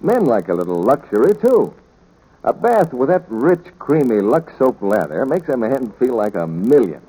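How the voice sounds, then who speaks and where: A man's voice: medium pitch, very animated, moderate pace, dark tone, slightly rough, poor audio. A male radio announcer delivering scripted 1940s announcements and sales patter. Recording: old 1940s radio broadcast.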